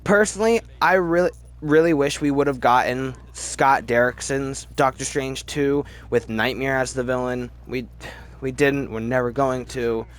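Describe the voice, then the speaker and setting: A man's voice: mid-range voice, moderate pace, clear, resonant tone. A man hosting a casual, opinionated film ranking video, rambling as he goes and often repeating words for emphasis. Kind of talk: casual opinionated movie commentary.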